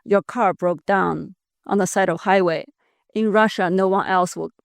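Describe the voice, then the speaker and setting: A woman's voice: medium pitch, steady tone, normal speed, creaky, dry recording, clean studio sound. A woman hosting a podcast, asking curious, direct questions in a casual conversation. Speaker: podcast host.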